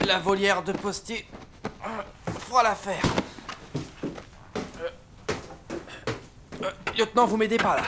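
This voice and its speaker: High-voiced, bossy army captain, acted by a man. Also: slow pace, pauses often, background noise. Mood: exasperated, brisk. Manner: exasperated, barking orders, swearing. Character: harried, irritable, bossy French army captain in the trenches. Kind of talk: comedic war audio drama acting.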